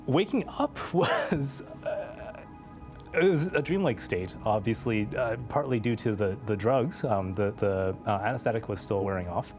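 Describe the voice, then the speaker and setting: A man telling a personal story as an interview guest, speaking in a reflective, measured way with pauses. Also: on the low side, expressive, a little mellow, soft background music. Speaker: interview guest, transplant patient.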